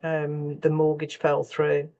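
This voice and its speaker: A woman speaking as a scriptwriter on a panel, candid and thoughtful, often pausing mid-sentence as she reflects. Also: sits low, completely flat delivery, mellow, muffled recording. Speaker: scriptwriter for radio and theatre.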